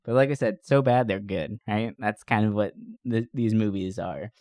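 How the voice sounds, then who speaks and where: A young man talks close to the mic in a very dry recording with no room sound. He hosts a podcast and retells movies casually and comically. His delivery is animated, full of slangy asides and swearing. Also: fairly low pitch, moderate pace, dry recording. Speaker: podcast host. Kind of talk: casual comedic movie recap.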